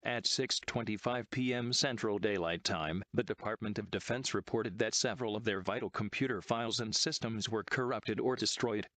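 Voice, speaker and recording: A low-pitched synthetic man's voice delivers a scripted emergency alert. The sound is dry and close, with no room around it. The delivery is formal, measured and impersonal, like an official announcer. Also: bright, forceful tone, no room sound. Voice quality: synthetic text-to-speech voice.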